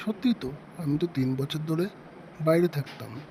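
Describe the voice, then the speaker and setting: A man's voice: a little high, normal speed, dark tone, a little hiss or hum. A man narrating a ghost story in the first person as a storyteller.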